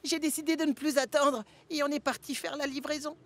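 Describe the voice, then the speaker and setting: A man's voice: very high voice, steady tone, brisk, fairly bright tone. A man voicing an eager, cheeky young train engine in a children's cartoon, earnest and childlike. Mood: sheepish. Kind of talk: dubbed children's cartoon acting. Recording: music behind the voice.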